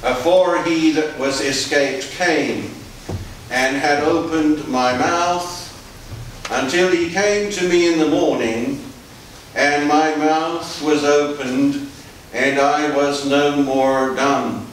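A man giving a formal, solemn, measured scripture reading as a priest in a church. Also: a little high. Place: small church, standing at lectern. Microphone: distant camera microphone. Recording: echoey church, distant mic, faint hiss.

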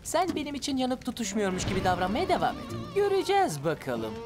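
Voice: mid-range voice, sing-song, fast, over music, close to an everyday voice. An actor voicing a cocky, pestering teenage boy in a cartoon dub, swaggering and insistent. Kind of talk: animated cartoon dubbing.

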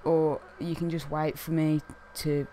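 A young man with a croaky, hoarse voice, chatting casually to camera as a gaming video host and rambling with lots of fillers. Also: fairly high pitch, level tone, unhurried, warm tone, a little hiss or hum, low-quality recording. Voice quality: croaky, losing his voice. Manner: rambling, many fillers like "what's it called". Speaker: gaming YouTuber.

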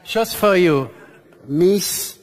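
A man in his sixties from Paris with a French accent, speaking halting, simple English as a talent-show performer. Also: high voice, animated. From Paris, France. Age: 60s. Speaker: ventriloquist talent-show contestant. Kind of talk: stage act patter and personal interview. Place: large theatre stage and busy backstage hall. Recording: loud music and audience noise behind.

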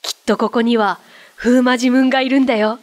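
A woman's voice: a little high, steady tone, fairly quick, close to an everyday voice. A woman voicing a cheerful, curious young boy with an eager, childlike manner in a radio drama. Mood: playful teasing.

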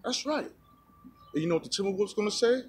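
A man in his forties vlogging outdoors into a phone held at arm's length, giving excited, preachy sports opinions and calling out "y'all" to his viewers. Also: high-pitched, fast, husky, dry recording. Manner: hyped, preachy, keeps saying "y'all". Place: outdoors by a rocky hill in a park. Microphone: phone selfie camera at arm's length.

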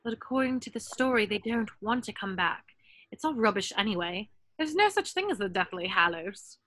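A woman's voice: mid-range voice, lively intonation, normal speed, bright, forceful tone, no room sound. A woman playing an earnest, bookish young witch in a scripted table read over a video call. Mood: earnest, matter-of-fact. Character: bookish, earnest young witch reading a dark fairy tale.

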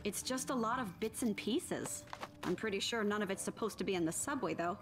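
An actress playing an upbeat, eccentric scientist and ghost hunter in an animated game, with a deadpan, quirky manner. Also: female voice, medium pitch, a little fast, over music, close to an everyday voice. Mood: matter-of-fact. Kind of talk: animated game cutscene acting.

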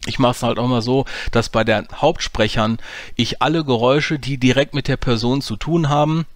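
A man hosting a screen-recorded software tutorial, explaining his editing steps casually with frequent hesitations. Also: medium pitch, normal speed, no echo, clean studio sound. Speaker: audio drama producer and tutorial host.

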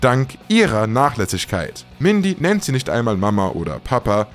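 A man's voice: mid-range voice, big pitch swings, normal speed, faint music behind. A man narrating a scripted countdown list as its host.

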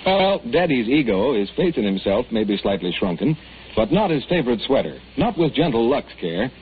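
An American man announcing and reading a scripted sales pitch as on 1940s radio. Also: big pitch swings, fairly quick, slightly rough. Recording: tinny, faintly noisy 1940s radio recording.

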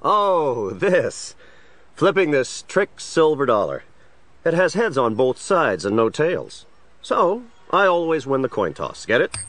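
An actor playing an eager, affable district attorney in a children's superhero audio drama. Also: male voice, on the high side, big pitch swings, slow pace, fairly bright tone, heavily acted. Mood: nervous, chatty.

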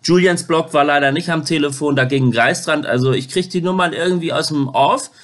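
Man in his thirties, slightly high voice, hesitant, repetitive, informal phone interviewer. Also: a bit bright. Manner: halting, hesitant, repeats words. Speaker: YouTuber making a phone call on camera. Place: home kitchen, indoors.

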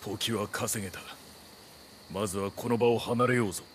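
An actor playing a composed, dutiful ninja retainer in a period video game, speaking in formal, measured samurai phrasing. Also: male voice, fairly low pitch, steady tone, slight background noise, lightly acted. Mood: guarded, formal. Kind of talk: scripted Japanese period game drama. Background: music.

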